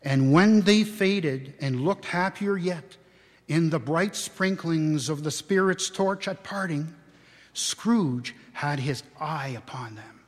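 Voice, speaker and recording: Slow, theatrical male storyteller, reverberant church. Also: fairly high pitch. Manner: theatrical, expressive. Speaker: dramatic reader. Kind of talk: scripted storybook narration before an audience. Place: inside a church, live reading. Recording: church hall with long reverb.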